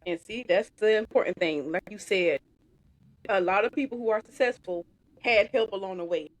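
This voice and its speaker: A woman in her thirties hosts a casual podcast interview, speaking in an upbeat, animated way. Her voice sits at a middling pitch and is recorded dry and close, with almost no room sound. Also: moderate pace, no echo, low-quality recording. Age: 30s. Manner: upbeat, animated, calls the guest "baby". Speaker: podcast host.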